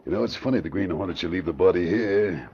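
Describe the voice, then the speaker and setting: An actor playing a brisk, blustery police inspector in an old radio crime drama. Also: male voice, sits low, expressive, a little mellow, slightly rough, full character voice. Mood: brisk, confident. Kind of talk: 1940s radio drama acting. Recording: muffled old radio recording.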